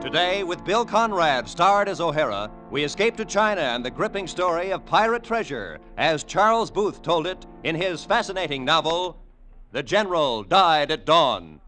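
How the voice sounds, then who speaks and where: A man announcing an old radio drama in a formal, dramatic, deliberate style. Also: a little high, very animated. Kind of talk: 1940s-50s radio announcing. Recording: vintage radio recording under loud organ music.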